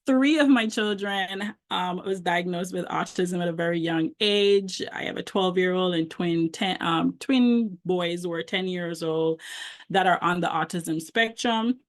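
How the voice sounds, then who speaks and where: A woman's voice: on the low side, a little slow. Middle-aged Jamaican woman, book coach presenting, chatty with many fillers. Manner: warm conversational, frequent ums. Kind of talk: online presentation.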